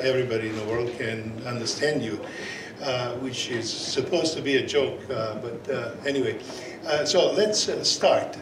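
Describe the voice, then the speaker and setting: Serbian-accented man chairing in an echoey hall. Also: medium pitch. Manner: halting, many fillers and restarts. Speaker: session chair and conference speaker. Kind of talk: conference presentation. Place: conference hall. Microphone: portable microphone. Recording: echoey hall, from far off, noisy.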